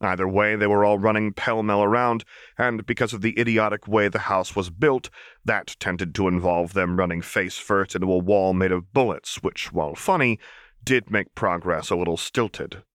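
A man reading a story as an audiobook narrator, expressive and wry in his storytelling. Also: fairly low pitch, normal speed, no room sound, clean studio sound. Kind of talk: expressive scripted fiction narration.

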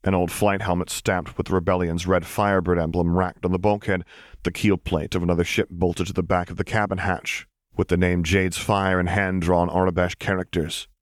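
Male audiobook narrator, low pitch, scripted fiction reading, dry close recording. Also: level tone, moderate pace, no echo.

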